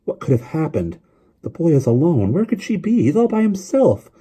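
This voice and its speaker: A man plays a worried mother in a storybook reading. The delivery is mellow and soft-edged, yet firm and imploring, urgent without becoming shrill. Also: sing-song, moderate pace, slightly rough. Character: anxious, firm mother, adult woman, urging her son.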